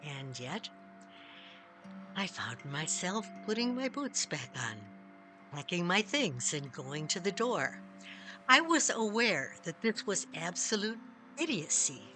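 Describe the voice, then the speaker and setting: A woman reading a first-person story as scripted narration, measured, with dramatic pauses. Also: on the low side, very animated, pushed and bright. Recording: music behind the voice. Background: music.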